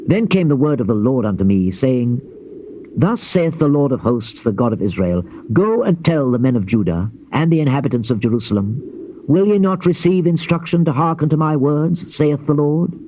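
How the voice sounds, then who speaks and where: An actor playing a grave Old Testament prophet in a dramatized scripture reading, declaiming in measured phrases. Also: male voice, medium pitch, expressive, dark tone, dry recording, natural voice. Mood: stern, solemn. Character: solemn male Old Testament prophet recounting God's warnings. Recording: dull noisy old cassette tape.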